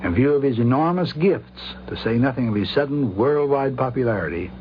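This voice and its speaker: A man's voice: mid-range voice, expressive, a little fast. A man giving a formal spoken recollection for radio. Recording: muffled, noisy 1950s radio recording.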